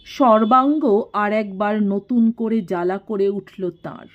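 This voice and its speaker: A woman reading a literary story in a measured, expressive storytelling manner. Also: medium pitch, muffled recording. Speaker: story narrator. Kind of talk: scripted literary audiobook narration.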